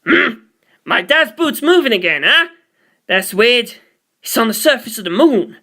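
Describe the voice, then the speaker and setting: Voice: mid-range voice, sing-song, measured pace, sharp tone, pitched above the performer's own voice, exaggerated voice. A reader voicing a hot-tempered, foul-mouthed young male gangster in a story, brash and slangy. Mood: puzzled.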